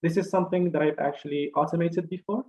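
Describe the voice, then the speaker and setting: A young man from Morocco giving an online career fair talk, speaking haltingly with many fillers and restarts over a video call. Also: a little high, steady tone, dark tone.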